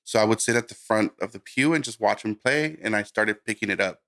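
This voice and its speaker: A man from Guatemala who grew up in the United States, giving a casual interview as a music producer, telling his story informally and haltingly. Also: lowish voice, creaky, sharp tone. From born in Guatemala, raised in the United States. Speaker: music producer, podcast guest.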